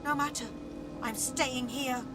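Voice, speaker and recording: A woman's voice: sits high, steady tone, measured pace, loud music behind the voice. A woman voicing a loyal, defiant undead cat that protects its mistress in a video game. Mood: devoted, mournful. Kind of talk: video game character acting.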